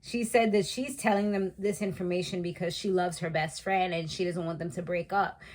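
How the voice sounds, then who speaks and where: A woman's voice: lowish voice, steady tone, normal speed, darkish tone. A woman in her thirties casually recapping a reality show, chatty and slangy, laughing at her own asides. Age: 30s.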